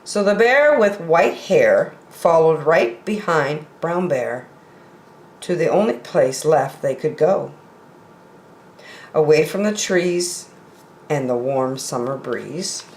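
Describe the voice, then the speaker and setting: A woman reads a picture book to small children at an unhurried pace. Her voice sits a little low and swings widely in expression. The room adds a clear echo. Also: animated, slight background noise. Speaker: storyteller reading picture books. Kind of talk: expressive read-aloud for young children. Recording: roomy home recording with echo.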